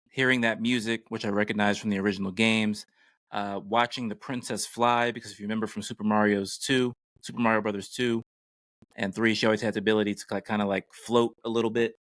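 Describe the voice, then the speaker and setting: A man's voice: fairly low pitch, fairly bright tone, no room sound. A middle-aged man co-hosting a podcast, giving casual, enthusiastic pop culture commentary in a conversational way. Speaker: podcast co-host.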